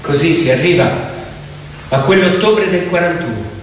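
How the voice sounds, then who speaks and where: A man telling a story on stage in an expressive, dramatic theatrical style. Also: fairly high pitch, moderate pace, a little hiss or hum. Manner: expressive, acts out villagers' exclamations. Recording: echoey reverberant hall, distant mic, loud music. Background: piano music.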